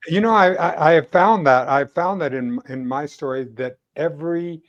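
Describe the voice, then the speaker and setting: A male podcast host with a mid-range voice in a casual interview. He is effusive and encouraging toward his guest. His delivery is halting, with phrases repeated and reworded as he talks. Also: medium pitch.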